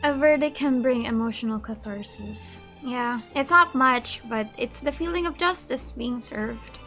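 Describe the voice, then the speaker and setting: A woman hosting a gameplay video, reacting casually and empathetically in an informal, soft-hearted way. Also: on the high side, unhurried, soft background music. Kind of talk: casual reactions to game dialogue.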